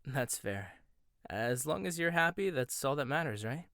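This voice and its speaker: A man performing a gentle, intimate ASMR roleplay monologue, hesitant at times and teasing at others. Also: medium pitch, lively intonation, no room sound, studio quality.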